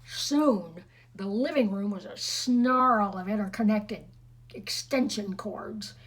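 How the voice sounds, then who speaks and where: A woman's voice: mid-range voice, slow pace, breathy edge. A woman reading a storybook aloud expressively to children, with chatty, teasing asides to her listeners.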